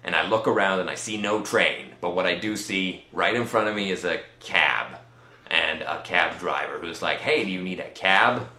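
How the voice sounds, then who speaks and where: A man with a Swedish accent telling a personal story in a casual, rambling vlog style, halting and pausing often as he goes. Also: low voice, pushed and bright, reverberant. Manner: halting, conversational delivery with many pauses. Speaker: vlogger.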